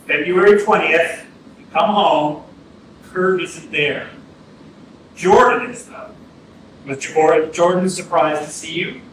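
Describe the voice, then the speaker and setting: A man's voice: on the high side, slow, long pauses, a bit bright. A man acting in a staged reading as a probing, persistent interrogator, asking short, pressing questions. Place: arts center stage, distant mic. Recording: very echoey hall, distant, faint noise.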